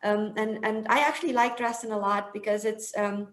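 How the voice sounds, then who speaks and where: A woman hosting a webinar Q&A on a video call, talking in a halting way with many um fillers. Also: mid-range voice, fairly even intonation. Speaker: webinar host. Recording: roomy video-call sound.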